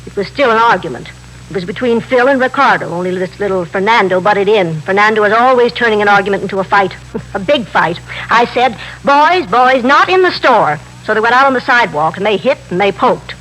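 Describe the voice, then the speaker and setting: An actress in a 1950s radio drama playing a talkative, excitable middle-aged widow who runs a candy store, repeating herself in simple, slightly broken grammar. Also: female voice, mid-range voice, a little fast, lightly acted. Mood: animated, insistent. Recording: noisy vintage radio broadcast recording.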